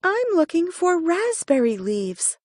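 A woman's voice: very high voice, big pitch swings, takes it slowly, a little mellow, no echo, studio quality, voice pushed up, heavily acted. A woman voicing a small, eager young female hare in a storybook reading, innocent and puzzled. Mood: polite.

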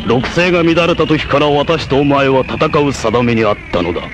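A man's voice: medium pitch, animated, normal speed, background noise, natural voice. A man voicing a noble, self-sacrificing blind warrior leader in a 1980s anime, with a solemn, formal, declamatory delivery. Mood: stern, confident. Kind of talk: 1980s anime martial-arts voice acting.

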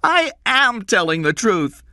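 A man's voice: sits high, very animated, slow pace, pushed and bright, no room sound, studio quality, voice pushed up, exaggerated voice. A man voicing a frightened young boy in an audiobook story, pleading and protesting insistently. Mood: desperate, pleading. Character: frightened, desperate young boy, kidnapped by mistake.

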